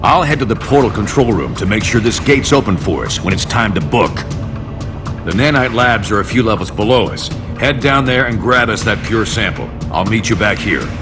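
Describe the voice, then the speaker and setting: A commanding, gruff spy leader from a video game, played by a man. He speaks in a low voice with terse, colloquial resolve. Music sits behind the voice. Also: animated, moderate pace, natural voice. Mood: brisk, resolute. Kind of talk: video game cutscene acting.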